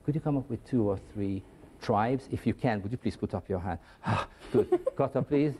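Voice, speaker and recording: A man leads an English lesson in a school studio, cheerfully quizzing his students. His voice sits low with a mellow, dark warmth. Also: moderate pace, slightly rough. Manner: upbeat, coaxing, quizzes students. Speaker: English teacher and show host.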